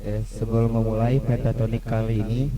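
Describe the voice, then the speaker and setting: A man's voice: on the low side, little pitch movement, brisk, a crowd in the background. A man with an Indonesian accent opening an event formally as its MC. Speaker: student MC.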